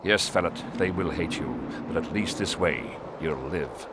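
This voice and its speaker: A man's voice: extremely low pitch, lively intonation, measured pace, heavy background noise. An actor playing a domineering, scheming middle-aged warrior king in a video game, with a commanding, sardonic manner. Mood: menacing. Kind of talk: dramatic video game acting.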